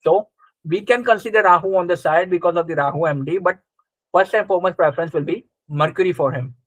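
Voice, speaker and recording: Higher-pitched man teaching a class. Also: quick pace, dry recording, poor audio. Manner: conversational, checks understanding with short questions. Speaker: astrology teacher. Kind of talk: live interactive class, explaining and quizzing students.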